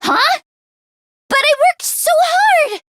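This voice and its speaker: A woman voicing an excitable, earnest teenage girl inventor who gets easily flustered, in an expressive anime-style video game performance. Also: very high voice, slow pace, dry recording, studio quality, heavily acted. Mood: dismayed. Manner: expressive, swinging from deadpan grumbling to frantic outbursts.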